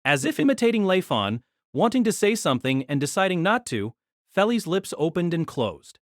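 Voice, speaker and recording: A man narrating an audio drama in scripted storytelling style, expressive and engaged. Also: normal speed, no echo, studio quality. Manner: expressive storytelling with lively pitch.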